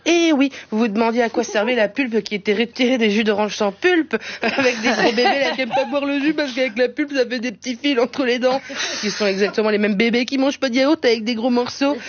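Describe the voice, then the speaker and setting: High-voiced playful woman, muffled noisy sound. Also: a little fast. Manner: mock-earnest, ironic, playful asides. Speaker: radio humour columnist. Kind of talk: comic radio chronicle, semi-scripted. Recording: dull muffled sound, loud noise.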